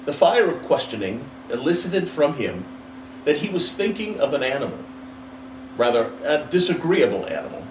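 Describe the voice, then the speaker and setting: Male narrator for literary storytelling; animated delivery, recorded in a roomy space away from the mic. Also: mid-range voice, normal speed. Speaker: storybook reader. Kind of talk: expressive dramatic literary narration. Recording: roomy, distant mic, faint background noise.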